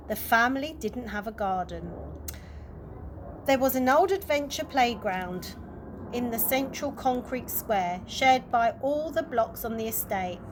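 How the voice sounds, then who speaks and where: A woman narrates a children's bedtime story in a fairly high voice. She reads aloud from a chapter book as an early years worker would. The recording has clear noise behind her.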